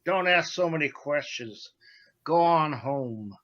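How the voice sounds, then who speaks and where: Male actor as gruff 1940s radio crook, curt orders, unhurried delivery, slightly lo-fi recording. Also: on the high side, animated, fairly bright tone. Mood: curt, menacing. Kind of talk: 1940s radio drama acting.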